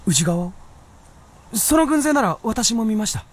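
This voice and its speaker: Earnest, very expressive young-sounding male fox. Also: high-pitched, normal speed. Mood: puzzled. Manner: polite, hesitant, easily flustered. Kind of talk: Japanese radio drama acting.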